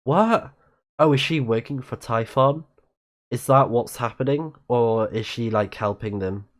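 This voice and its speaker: A man's voice: mid-range voice, a little slow. A man with a slightly scratchy voice, chatting casually as he streams a game and reads its text aloud. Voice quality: a bit scratchy. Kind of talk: casual live let's play, reading game texts aloud.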